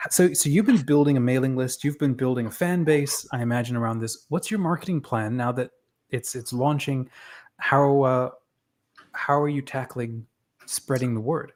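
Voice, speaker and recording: A woman's voice: a bass voice, moderate pace, a little mellow, echoey room. A young woman hosting a casual interview in an encouraging, affirming manner. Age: young adult. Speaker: interviewer, podcast host.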